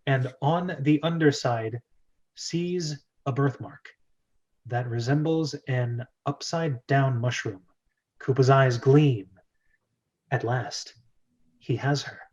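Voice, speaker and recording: A man's voice: on the high side, lo-fi sound. A man hosting a script reading and narrating the stage directions.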